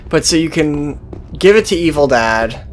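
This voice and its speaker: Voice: medium pitch, lively intonation, normal speed, soft background music. A game commentator talking casually and off the cuff, in a sweary, sardonic, offhand manner. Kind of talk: casual, improvised gameplay commentary.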